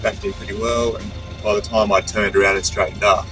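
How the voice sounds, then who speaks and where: A man's voice: on the low side, fairly quick, music in the background. A young man talking casually to camera on a sailboat deck, thinking aloud as he goes. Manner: relaxed, thinking aloud with restarts. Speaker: sailing vlogger.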